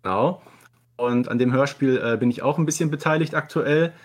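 A man's voice: mid-range voice, normal speed. A man in a casual podcast conversation, talking like an enthusiastic fan. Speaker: podcast co-host appearing as guest.

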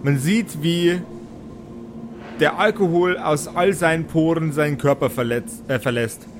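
A man narrating an improvised tabletop fantasy game as game master, in halting, deliberate phrases. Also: fairly high pitch, noisy background. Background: background music.

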